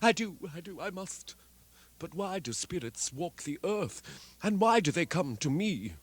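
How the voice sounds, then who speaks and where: Man to play a jumpy old miser, high, lively and slow, scoffing then begging. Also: husky, no echo, full character voice. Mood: pleading, frightened. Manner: animated, swinging from scoffing to pleading. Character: old male miser, frightened and doubting. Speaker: narrator and lead actor. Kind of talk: dramatic reading of a classic tale.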